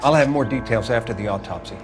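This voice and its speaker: Actor as a dry, clinical coroner, music behind. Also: male voice, mid-range voice, lightly acted. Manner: measured, clinical. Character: matter-of-fact, dry male coroner at crime scene. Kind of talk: video game dialogue acting, 1940s noir.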